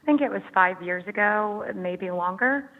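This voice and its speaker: A woman's voice: mid-range voice, normal speed. A woman casually recalling something she saw, as a listener telling her story. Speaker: listener who sent in a question. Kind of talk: casual recollection. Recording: thin phone-like audio in hall.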